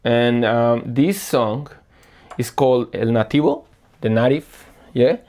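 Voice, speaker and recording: A man from Colombia, a guest record selector chatting casually and earnestly about records, often pausing to search for words. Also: medium pitch, a little mellow. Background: faint music playing.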